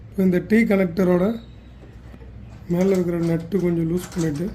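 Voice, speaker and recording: Male demonstrator, notably high pitch, plain instructional delivery, faint background noise. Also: level tone, moderate pace, dark tone. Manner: plain step-by-step explaining with some English technical words. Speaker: product demonstrator for a heater supplier.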